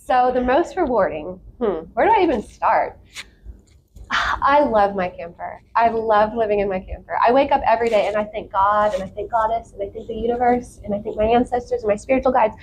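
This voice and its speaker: A woman with a fairly high voice chats casually as an interview guest. She is candid and expressive, with a giggly streak. Some noise sits behind her. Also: brisk, rough recording. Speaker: podcast guest. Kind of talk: casual chat. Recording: clear background noise.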